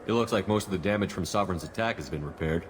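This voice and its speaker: An actor playing a stoic, commanding space soldier hero in a video game, speaking in a direct, composed way. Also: male voice, low voice, fast talker, long reverb tail, background noise, lightly acted. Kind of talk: video game dialogue acting.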